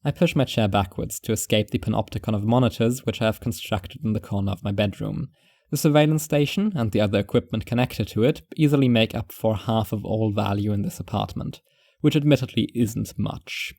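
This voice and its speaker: A man playing a reclusive, paranoid surveillance watcher who narrates his own story in a wry, deliberate, musing way. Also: lowish voice, creaky, a little mellow, no echo. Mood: reflective. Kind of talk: first-person fiction narration.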